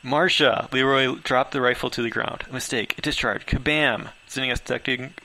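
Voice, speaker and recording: A man narrates a first-person story in a home recording. His voice is sharp and forceful, with a creaky edge, and his reading is informal and slightly unpolished. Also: mid-range voice, fairly even intonation, brisk, poor audio. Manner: stumbles over words, laughs while reading. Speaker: author reading own story aloud.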